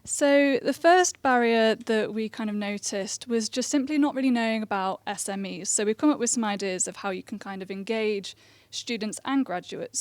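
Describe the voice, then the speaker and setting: A young woman in her twenties with a quiet, soft voice, presenting as a recent graduate on a conference panel. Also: on the high side, no echo. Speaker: careers assistant, panel speaker.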